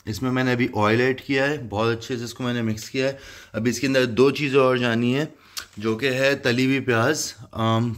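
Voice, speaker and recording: A young man hosting his cooking channel, casually explaining a recipe in a home kitchen and slipping English phrases into his speech. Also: mid-range voice. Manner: mixes Hindi-Urdu with English phrases, relaxed and chatty. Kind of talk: casual cooking demonstration, voice-over.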